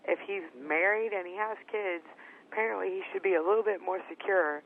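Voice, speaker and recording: On a narrow telephone line, a woman caller argues on a radio show. Her voice is heavy with creak and gravel, and her delivery is blunt, firm and dismissive. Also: mid-range voice, fairly quick. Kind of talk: call-in radio argument.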